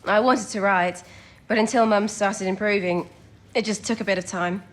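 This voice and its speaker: A young woman playing a bright, affectionate twenty-year-old codebreaker in a period wartime radio drama. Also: medium pitch, fairly even intonation, moderate pace, close to an everyday voice. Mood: earnest, affectionate. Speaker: actor in an audio drama.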